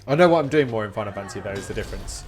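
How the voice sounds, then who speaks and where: A man's voice: lowish voice, lively intonation, rapid-fire. A man streaming a game, chatting informally with rambling explanations. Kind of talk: casual live-stream chat while gaming. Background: game music.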